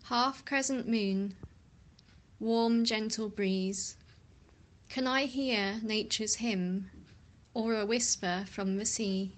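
A woman, reading a poem in a slow, gentle recital. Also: mid-range voice, steady tone. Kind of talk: poetry reading.

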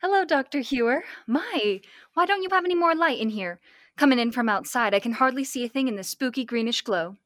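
A woman's voice: high voice, lively intonation, fairly quick, a bit bright, dry recording. A woman playing a concerned, inquisitive young adventurer in an old-time radio drama, earnest and questioning. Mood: friendly, curious.

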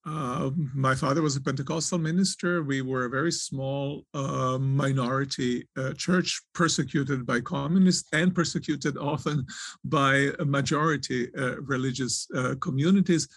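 A man, giving thoughtful, halting answers in an interview, often restarting his phrases as he searches for words. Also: medium pitch, steady tone, vocal fry, a bit bright, slightly rough, no echo. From Croatia. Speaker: author and theologian, interview guest.

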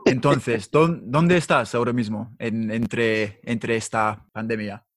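A man's voice: mid-range voice, takes it slowly, no echo. An English man in his twenties hosts a laid-back podcast chat, joking and slangy. His voice sits mid-range and sounds close and dry, with no room around it. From England. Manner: relaxed, slangy, teasing. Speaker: podcast host. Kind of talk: casual chat in Spanish as a learner.

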